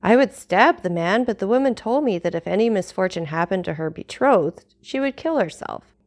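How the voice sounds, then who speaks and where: A woman's voice: medium pitch, expressive, normal speed, lightly acted. A woman voicing a desperate, lovesick young man who speaks earnestly in an audiobook. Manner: earnest, resolute.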